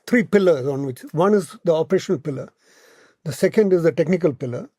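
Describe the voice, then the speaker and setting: Male analyst, Indian accent, warm dark timbre, close dry sound, measured explanatory delivery with repeated words. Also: fairly high pitch, lively intonation, no echo. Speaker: podcast guest, policy analyst. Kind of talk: explanatory podcast discussion.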